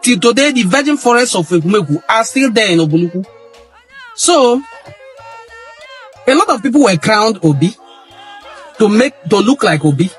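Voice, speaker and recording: High-voiced Nigerian man, emphatic. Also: sing-song, moderate pace, long pauses, soft background music. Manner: emphatic, repeats phrases for stress. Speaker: cultural history commentator. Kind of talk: impassioned monologue.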